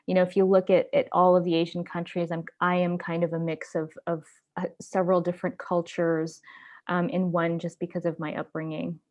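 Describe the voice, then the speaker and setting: A woman's voice: on the low side, level tone, brisk, darkish tone, highs cut off. A woman with an other than English, speaking as an interview guest about her life, thoughtful and often repeating words as she searches for them. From grew up in Japan and Indonesia. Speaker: interview guest, community activist. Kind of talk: casual interview talk.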